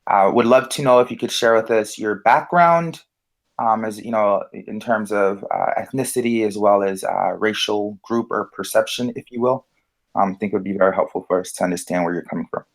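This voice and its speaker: A man with a fairly low voice co-hosting a relaxed discussion. He hesitates often and restarts his sentences. He stays conversational throughout. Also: a little fast. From United States. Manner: hesitant, many fillers and restarts. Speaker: podcast co-host. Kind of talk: casual discussion.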